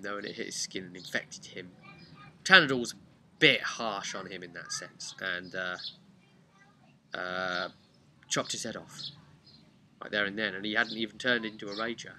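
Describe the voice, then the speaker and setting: A man casually retelling a tabletop role-play story in a halting, chatty way with wry asides. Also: lowish voice, pushed and bright. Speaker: host of a tabletop role-play campaign recap. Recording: clear background noise with birdsong.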